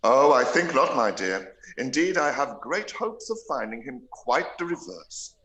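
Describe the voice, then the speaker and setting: A middle-aged English country gentleman for a period radio play. His delivery is dry and teasing, with formal phrasing and lively swings of pitch. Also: low-quality recording. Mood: wry amusement. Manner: wry, measured, formal period speech. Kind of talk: live-read radio play of a period novel.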